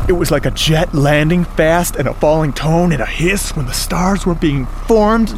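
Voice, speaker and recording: A man's voice: high voice, slight background noise, natural voice. An actor playing a lovestruck, self-doubting audio geek, narrating his romance in short, confiding phrases for a radio drama. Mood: excited.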